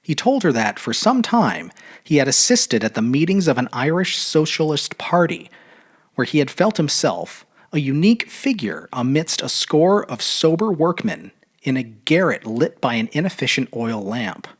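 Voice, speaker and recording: A man narrating a literary short story aloud in an expressive, measured, dramatic audiobook style. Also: fairly high pitch, husky. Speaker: storyteller reading fiction aloud.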